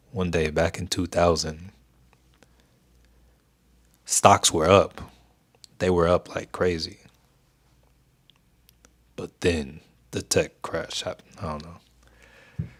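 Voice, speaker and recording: Deep, soothing young man. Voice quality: soothing, even voice. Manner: laid-back, keeps delivery even, reads chat aloud. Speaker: stock trading livestream host. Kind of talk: casual livestream chat with viewers.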